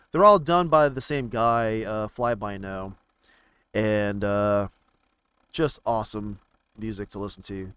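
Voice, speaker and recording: A man giving casual, rambling commentary on video games, haltingly thinking out loud as he browses. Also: fairly low pitch, smooth. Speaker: gaming video creator.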